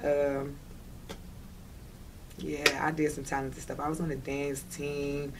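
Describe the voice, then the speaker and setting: A woman's voice: low-pitched, level tone, takes it slowly, heavy background noise. A woman with a Detroit accent chatting casually as an interview guest, talking in an emphatic, slangy way and often checking that the listener follows. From Detroit, United States.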